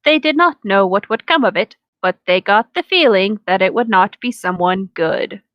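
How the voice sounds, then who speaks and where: A woman's voice: medium pitch, expressive, moderate pace, dull audio, a little hiss or hum. A woman co-hosting a comedic reading, narrating a story aloud with casual, sardonic asides. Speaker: co-host of a comedic fan-fiction reading.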